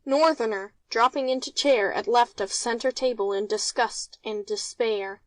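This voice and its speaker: A woman's voice: on the high side, normal speed, no room sound, rough recording. A woman reading out a play's stage directions as scripted narration.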